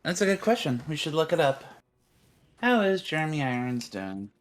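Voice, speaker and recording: A man's voice: a little high, sing-song, moderate pace. A man co-hosting a podcast in loose, casual chat. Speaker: podcast co-host.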